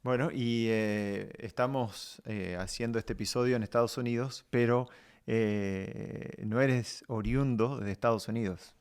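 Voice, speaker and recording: A man hosting a conversational podcast, speaking in a measured, reflective way with pauses as he addresses his guest. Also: mid-range voice, steady tone, darkish tone, clear, resonant tone. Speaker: podcast host.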